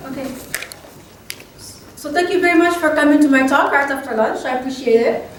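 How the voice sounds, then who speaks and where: A high-pitched woman from Morocco presents research to a conference. She speaks didactically and repeats key points. Her voice comes from some way off the mic in a very echoey room, with faint noise. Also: fairly quick, distant mic.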